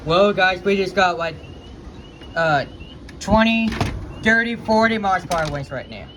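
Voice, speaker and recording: Amateur male vlogger, fairly high voice, halting, exclamatory casual commentary over clear background noise. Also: expressive. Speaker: amateur vlogger filming a storm. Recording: noisy home video, ambient noise.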